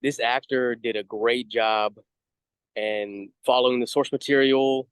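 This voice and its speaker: A man's voice: medium pitch, steady tone, a little slow, smooth, no echo. Dry-sounding man co-hosting a casual review. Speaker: co-host of a review show. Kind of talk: casual fan review chat.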